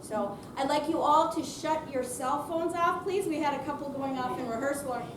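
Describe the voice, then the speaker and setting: Loud high-pitched woman introducing a show. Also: normal speed. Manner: loud, projecting, chatty. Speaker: theater program presenter. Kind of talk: live audience introduction, off the cuff. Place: senior center room, unamplified, before an audience. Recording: distant mic, echoey room, noisy.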